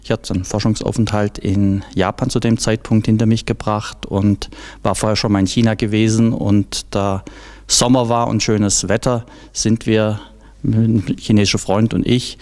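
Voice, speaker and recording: A middle-aged man with a fairly low voice gives interview answers as informal anecdotes. He comes from southwest Germany and speaks into a handheld microphone. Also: level tone, moderate pace, darkish tone. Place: large indoor hall.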